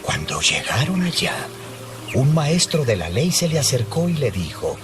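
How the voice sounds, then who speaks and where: A man narrating a dramatized Bible story as scripted narration, in a measured storytelling manner. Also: mid-range voice, very animated, over music.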